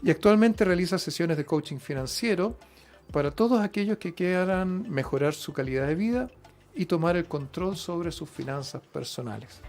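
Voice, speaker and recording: A male radio host with a somewhat higher voice. He talks conversationally, with frequent fillers and quick affirmations, over faint background music. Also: animated, a little mellow. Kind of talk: radio interview.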